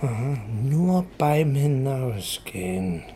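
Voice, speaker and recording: An actor playing a probing, teasing senior male police detective with a raspy, creaky voice in a naturalistic radio drama. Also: medium pitch, big pitch swings, dark tone, slight background noise. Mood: musing, probing. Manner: probing, instructing, deliberate.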